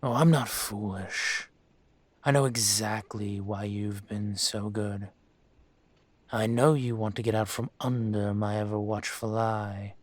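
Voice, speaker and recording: A man playing a dragon mafia boss in an audio roleplay, speaking with measured, condescending menace and smug control. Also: lowish voice, steady tone, no room sound. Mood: stern, condescending. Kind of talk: scripted roleplay monologue to the listener.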